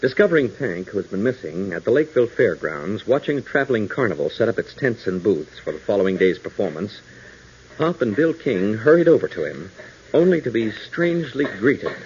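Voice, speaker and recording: A man's voice: lowish voice, very animated, a little fast, darkish tone, a little hiss or hum. A man announcing and narrating a 1940s radio serial, with emphatic, dramatic delivery aimed at young listeners. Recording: muffled old radio broadcast.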